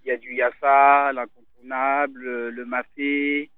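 A man from Italy, a restaurant owner, talking casually on the phone in an interview, relaxed and hesitant with many fillers. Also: medium pitch, a little slow, clear, resonant tone, no room sound. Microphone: telephone. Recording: tinny phone line.

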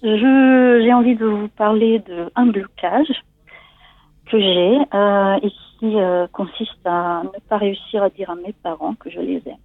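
Woman, 40s, Italian accent. Hesitant, personal, conversational guest, mid-range pitch, very dry sound. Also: fairly even intonation, measured pace, no echo, phone-quality sound. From Italy. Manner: hesitant, searching for words. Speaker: guest seeking coaching.

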